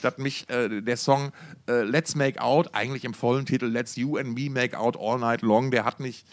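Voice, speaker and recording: A man's voice: medium pitch, fairly even intonation, moderate pace. A man co-hosting a podcast in casual, slangy, enthusiastic music talk, often repeating words as he searches for phrasing. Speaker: podcast co-host.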